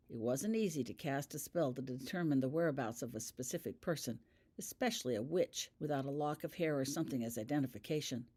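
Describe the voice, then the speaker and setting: A narrator reads a story aloud in a mid-pitched voice. The delivery is scripted audiobook narration, read by the author of the piece. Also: darkish tone. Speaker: author reading own fan fiction.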